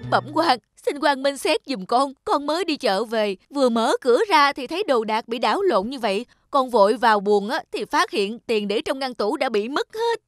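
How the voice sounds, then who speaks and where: A woman's voice: high voice, lively intonation, normal speed, hardly any pauses, soft background music. A woman voicing a distraught, pleading village woman in a cartoon folktale, wailing as she speaks. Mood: tearful pleading. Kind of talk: Vietnamese cartoon folktale dubbing.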